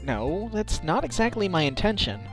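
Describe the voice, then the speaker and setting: A man's voice: high voice, very animated, moderate pace, soft background music. A man in a game fan dub playing a good-natured defense lawyer with a wry, casual manner. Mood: wry, musing.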